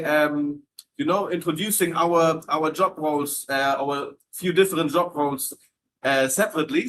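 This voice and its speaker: A man's voice: on the high side, a bit bright, a little hiss or hum, poor audio. A man from Germany hosting a casual live Q&A about hotel entertainment jobs, friendly and full of fillers and restarts. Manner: friendly, filler-heavy, repeats words while reading comments. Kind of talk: casual live-stream chat.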